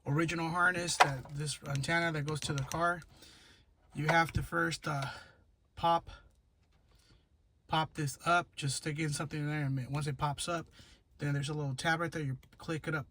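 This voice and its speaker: A man with a slightly high voice talks through a hands-on repair job as a DIY presenter. He goes step by step, haltingly, repeating himself as he instructs. The amateur recording has clear background noise and clicking. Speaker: DIY car tutorial presenter. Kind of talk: hands-on how-to narration, unscripted.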